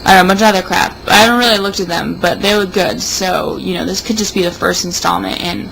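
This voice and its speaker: A woman's voice: fairly low pitch, steady tone, fast, creaky, fairly bright tone, low-quality recording. A young woman in a casual, rambling monologue, sounding tipsy and sardonic. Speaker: vlogger. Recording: noticeable background noise.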